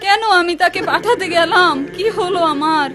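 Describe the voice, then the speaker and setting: A woman's voice: very high-pitched, moderate pace, crowd noise. A woman voicing a distraught, grieving wife in a cartoon folk tale, crying as she speaks. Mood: tearful panic. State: crying, wailing. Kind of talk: animated cartoon dub.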